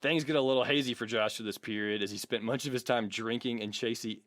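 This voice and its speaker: A man's voice: mid-range voice, fairly even intonation, fast, fairly bright tone, dry recording. A man hosting a podcast, mixing jokey mock-formal reading with casual, wry, self-deprecating banter. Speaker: podcast host.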